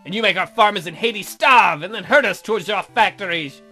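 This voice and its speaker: A woman's voice: medium pitch, fairly quick, bright, forceful tone, faint music behind, voice pushed down. A woman voicing a zealous, angry young female vigilante in a visual novel, preaching and giving bossy orders. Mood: righteous anger.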